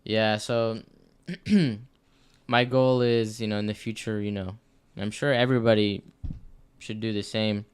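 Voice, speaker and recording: Young man with a low, clear voice. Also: little pitch movement, unhurried. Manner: joking, then reflective. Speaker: podcast co-host. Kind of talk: casual podcast chat.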